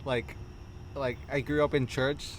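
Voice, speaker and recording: A man's voice: medium pitch, fairly even intonation, a little slow, a little hiss or hum. Laid-back man, host of a podcast. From Mexico. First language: Spanish. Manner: laid-back, joking, laughs often. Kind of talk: casual chat.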